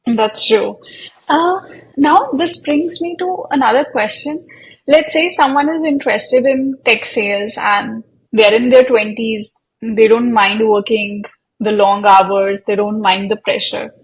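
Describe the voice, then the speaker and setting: A woman's voice: a little high, slight background noise, rough recording. Indian-accented woman hosting an interview. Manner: deliberate, framing long questions with "so, um". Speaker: host, career coach interviewer. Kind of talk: interview questions.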